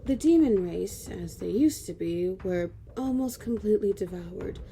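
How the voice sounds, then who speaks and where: A woman's voice: medium pitch, lively intonation, warm tone, soft background music, lightly acted. A woman playing a grave elven noblewoman who recounts ancient history somberly in a fantasy audio drama. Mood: somber, measured. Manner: measured, somber. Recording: clear background noise and ambience.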